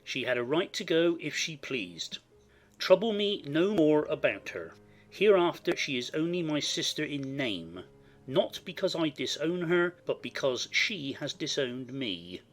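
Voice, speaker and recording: A man playing a devoted, proud gentleman husband in a dramatized audiobook. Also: fairly high pitch, big pitch swings, a little slow. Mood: cold, resigned.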